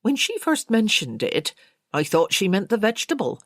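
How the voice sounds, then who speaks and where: A woman's voice: mid-range voice, big pitch swings, normal speed, no room sound, studio quality, voice pushed up. A woman voicing an artless, talkative servant girl with naive, colloquial speech in an audiobook. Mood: earnest.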